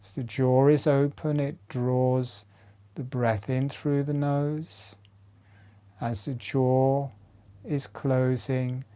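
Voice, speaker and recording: Very slow, mellow man guiding meditation. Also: medium pitch, gravelly. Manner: unhurried, measured, short phrases with long pauses. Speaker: meditation and breathwork instructor. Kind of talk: slow guided breathing meditation instruction.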